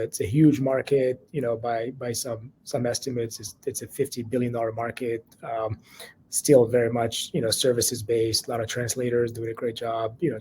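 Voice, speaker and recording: A man from Morocco with a slight Moroccan accent, an investor guest in a conversational interview, speaking haltingly with many restarts and fillers. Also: medium pitch, darkish tone, a little hiss or hum.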